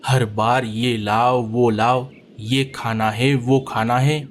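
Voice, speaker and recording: A man's voice: mid-range voice, flat delivery, slow, a little hiss or hum, lightly acted. A man voicing an easygoing, lazy male sparrow husband in a children's cartoon, grumbling and pleading. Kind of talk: cartoon voice acting.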